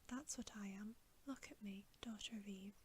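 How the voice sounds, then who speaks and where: A woman's voice: mid-range voice, moderate pace, lightly acted. A woman voicing a guilt-ridden, weepy male fawn in a whispered ASMR storybook reading. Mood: remorseful confession. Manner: whispered, sorrowful, remorseful. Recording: faint background hiss.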